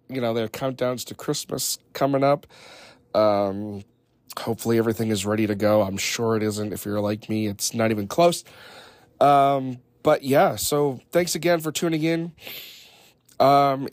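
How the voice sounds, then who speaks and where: A man hosting a casual podcast, hoarse and raspy from a head cold, rambling loosely with lots of fillers and casual swearing. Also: medium pitch, no room sound. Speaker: podcast host. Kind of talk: casual off-the-cuff podcast chat.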